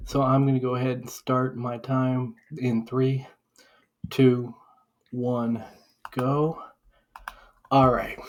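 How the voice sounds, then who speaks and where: A man's voice: mid-range voice, fairly bright tone. Impassioned, profane man debating casually. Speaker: podcast co-host.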